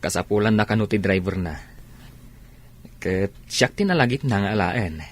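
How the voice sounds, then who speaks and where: Man acting as patient older brother, humble janitor, lowish husky voice, Ilocano accent, earnest, radio drama. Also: normal speed, natural voice. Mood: hopeful, earnest. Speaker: actor in an audio drama.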